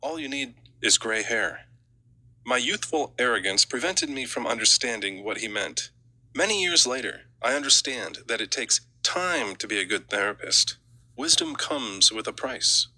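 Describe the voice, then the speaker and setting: A male audiobook narrator with a mid-range voice reads from a script. His tone is very bright and sharp, with a forceful edge. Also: medium pitch, sing-song, moderate pace, no room sound, poor audio.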